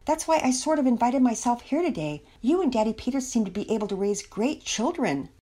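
A woman narrator acts out a young storybook mother, embarrassed and apologetic. Her pitch lifts high and moves a lot as she pleads and explains. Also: expressive, a little fast, warm tone. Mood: pleading, desperate. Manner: hesitant, apologetic.

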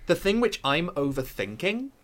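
A man YouTuber chatting casually, candid and excitable, swearing freely. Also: fairly high pitch, moderate pace, slight background noise. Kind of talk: casual chat.

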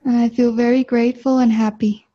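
A woman interpreting a guest's words in short consecutive chunks, with an even, plain delivery. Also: on the high side, normal speed. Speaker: interpreter into English. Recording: muffled, dull sound.

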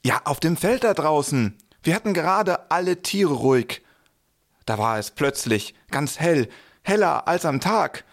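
Guy playing excited poor shepherd in a nativity play, swingy highish voice. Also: a little slow. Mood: awed, excited. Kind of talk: church nativity audio play, scripted.

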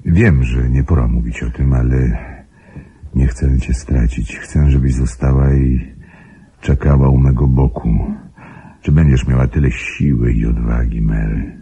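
An actor playing a brooding, controlling older gentleman in a radio play, with a gravelly, husky voice and a measured, weighty delivery. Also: very deep voice, fairly even intonation, full character voice. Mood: solemn, insistent. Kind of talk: Polish radio play acting.

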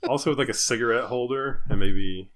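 A man's voice: mid-range voice, fairly quick, a bit bright. A man co-hosting a podcast, chatting casually and jokingly as he poses playful questions. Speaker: podcast co-host. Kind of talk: casual, joking chat posing would-you-rather questions.

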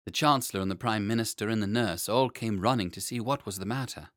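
A man reading a children's story as an expressive audiobook narrator. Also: fairly low pitch, fast talker, no echo, studio quality.

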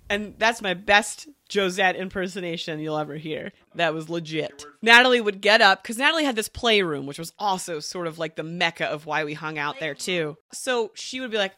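A woman's voice: on the low side, sharp tone, dry recording. A woman telling casual comedic anecdotes as a guest storyteller, animatedly acting out what people said.

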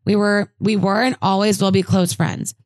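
A woman's voice: medium pitch, moderate pace, no echo. Woman reading listener letters, dry sound. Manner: animated, jokey, reads letters aloud with asides. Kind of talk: casual chat.

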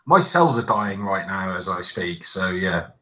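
Low British man, muffled lo-fi recording. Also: expressive, unhurried, a crowd in the background. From United Kingdom. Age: late 40s. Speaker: podcast co-host. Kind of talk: casual chat. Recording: dull, muffled lo-fi mic.

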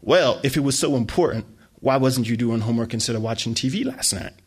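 A man voicing a domineering middle-aged mother who scolds and threatens her son, with a husky voice. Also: mid-range voice, animated, brisk. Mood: stern.

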